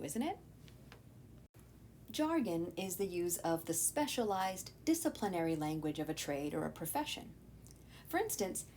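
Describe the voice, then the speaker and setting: Female teacher for lesson narration, very lively intonation, explanatory and direct to students, some background noise with rumble. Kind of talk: scripted educational narration.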